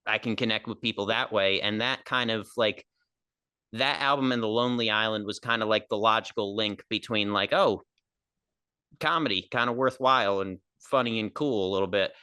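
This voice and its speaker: Man, young adult, nasal, bright, rambling casual talk, dry sound. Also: fairly low pitch, normal speed, smooth, no echo. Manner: rambling, filler-heavy, laughs easily. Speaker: stand-up comedian guest. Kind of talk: casual podcast interview.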